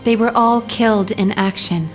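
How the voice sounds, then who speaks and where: A woman's voice: mid-range voice, moderate pace, soft background music, lightly acted. A woman playing a guarded, guilt-burdened military operations officer in a video game cutscene. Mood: grave, guilty.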